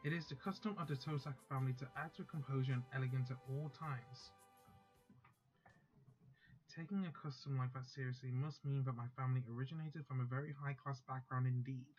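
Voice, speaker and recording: A man casually reading a visual novel's text aloud, steady but stumbling and correcting himself now and then. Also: medium pitch, a little fast, lo-fi sound. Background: faint background music.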